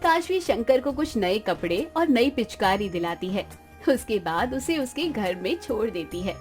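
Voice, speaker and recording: A woman's voice: fairly high pitch, big pitch swings, measured pace, a little mellow, soft background music. A woman reading scripted narration for a moral story as its narrator.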